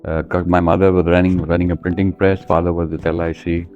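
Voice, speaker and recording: Deep, bright-voiced older Indian man. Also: flat delivery, quick pace, muffled recording, soft background music. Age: 70s. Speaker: interview guest telling his life story. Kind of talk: conversational storytelling.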